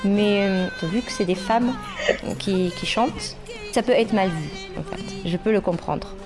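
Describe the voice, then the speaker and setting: A woman from Morocco, a singer and rapper, speaking earnestly in a documentary interview, pausing often with fillers. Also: medium pitch, over music.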